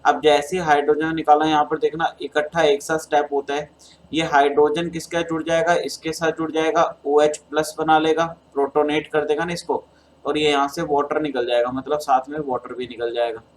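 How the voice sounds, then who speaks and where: A man's voice: a little high, little pitch movement, brisk. Hindi-accented man teaching chemistry, sore throat. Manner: addresses students as beta, talks through steps. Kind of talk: exam solution lecture.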